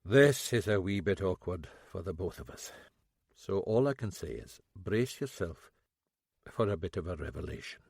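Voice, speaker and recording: A man voicing a stern, calm Scottish detective who speaks low and measured. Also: gravelly, slightly rough, dry recording, clean studio sound, heavily acted. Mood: grave.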